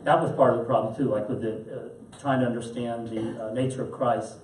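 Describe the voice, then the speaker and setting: A man teaching a class and answering questions in a thoughtful, halting way. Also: mid-range voice, steady tone, a little mellow. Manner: thoughtful, halting with fillers and restarts. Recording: roomy echo, mic at a distance, faint hiss.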